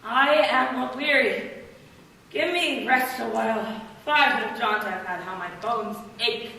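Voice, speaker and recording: In a very echoey hall, far from the mic, a woman plays a fussy old nurse in Shakespeare. She is garrulous and weary, rambling and teasing in a bright, fairly high theatrical voice. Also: measured pace, creaky, full character voice. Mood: weary, complaining. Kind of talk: Shakespeare stage acting. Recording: echoey hall, distant mic, faint noise.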